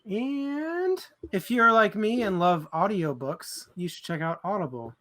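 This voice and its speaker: A man's voice: high voice, expressive, measured pace, a bit bright. A man co-hosting a book club livestream in casual, informal chat with a bit of joking.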